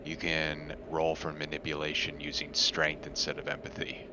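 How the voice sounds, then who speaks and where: A man playing his character in a tabletop game, eager and quick to laugh. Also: a bass voice, completely flat delivery, background noise. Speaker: player. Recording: dull lo-fi mic.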